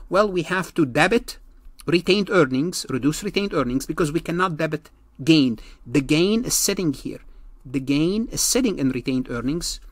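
A man's voice: fairly high pitch, no room sound. A man giving an exam-prep accounting lecture, explaining worked examples emphatically and repeating key phrases.

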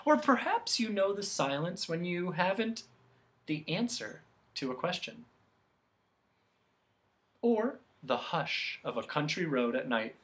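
Storybook keeper of sounds, acted by a narrator: a soft, talkative, sighing lady with lilting, animated pitch. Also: slow pace, pauses often. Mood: dreamy, wistful. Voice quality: soft, gentle. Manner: talkative, sighing, savoring each phrase. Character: gentle, wistful female keeper of sounds, covered in bells.

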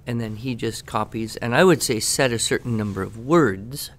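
A man giving conversational expert advice on a podcast, explaining in measured phrases with pauses mid-sentence. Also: mid-range voice. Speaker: education expert answering questions.

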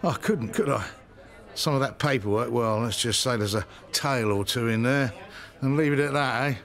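An actor playing a gruff, troubled middle-aged British ex-policeman and landlord in a radio soap, with colloquial speech. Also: medium pitch, normal speed, a bit bright, close to an everyday voice. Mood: uneasy, evasive. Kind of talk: naturalistic radio soap acting.

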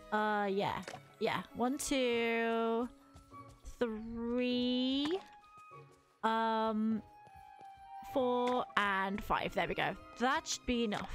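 A woman in her thirties with a mild cold, chatting casually to herself and her chat while playing a game at home on a headset. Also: a little high. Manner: chatty, self-talking, giggly asides. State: has a mild cold, a little tired. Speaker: livestreamer. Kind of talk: casual gameplay commentary.

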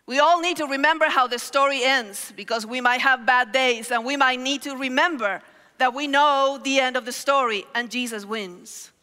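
Lively woman preaching in a hall. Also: sits high, normal speed. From Argentina. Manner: animated storytelling with gestures, calls on the crowd. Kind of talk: sermon. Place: large hall stage with audience. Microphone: headset mic. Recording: reverberant large hall.

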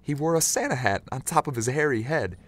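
Animated male storyteller, lively swooping pitch. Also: a little fast. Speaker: storyteller narrator. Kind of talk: animated scripted Christmas storytelling.

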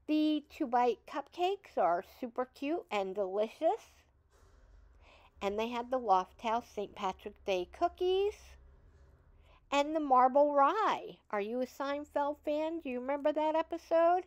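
A woman's voice: on the high side, dry recording. A middle-aged woman hosting a shopping vlog, chatting in an upbeat, enthusiastic voice-over. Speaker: vlog host.

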